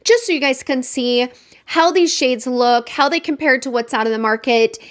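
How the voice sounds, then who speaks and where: A woman's voice: sits high, moderate pace. A woman in her thirties with a nasal, congested voice from a cold, chatting through a makeup review. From Utah, USA. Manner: chatty, friendly, detailed. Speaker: beauty vlogger. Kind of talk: makeup review talk to camera.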